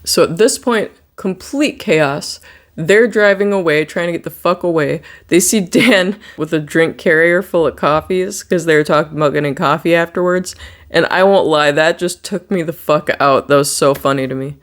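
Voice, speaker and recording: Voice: medium pitch, normal speed. A horror movie reviewer giving a casual, rambling recap with plenty of swearing.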